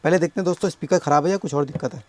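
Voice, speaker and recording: A man with a sore, hoarse throat explaining a speaker repair step by step at his workbench, often addressing viewers as friends. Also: on the high side, a little mellow, no echo. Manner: explains slowly, keeps calling viewers friends. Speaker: electronics repair technician.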